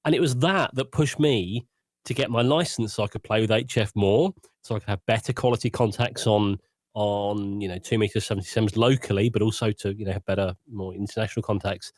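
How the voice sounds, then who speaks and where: A man's voice: on the low side, fairly even intonation, brisk, no room sound. A man chatting casually and candidly as a podcast panelist, in a self-deprecating way. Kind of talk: casual chat, personal anecdote.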